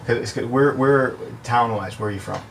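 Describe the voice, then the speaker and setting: A man's voice: mid-range voice, normal speed, vocal fry. A man hosting a podcast, asking brief, curious questions in casual chat. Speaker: podcast host. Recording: distant mic, faint noise.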